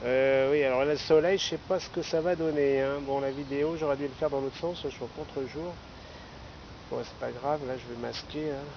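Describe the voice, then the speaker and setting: A man's voice: mid-range voice, fairly even intonation, a little fast. A man making rambling, casual amateur radio chat outdoors, often adding small tags to his phrases. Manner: chatty, rambling, tagging phrases with hein and là. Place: outdoors in a city park. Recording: dull, lo-fi outdoor recording with noise.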